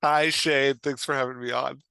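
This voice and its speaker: A man talking casually as an interview guest, haltingly, in short phrases as he thinks aloud. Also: mid-range voice, level tone, vocal fry, pushed and bright, smooth, dry recording. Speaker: podcast guest, author and writing coach.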